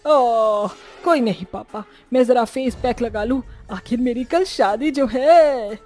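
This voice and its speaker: A woman's voice: a little high, slow pace, a little mellow, faint music behind. A woman voicing a young, lovestruck and bossy witch bride in a horror-comedy cartoon. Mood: pleased, excited.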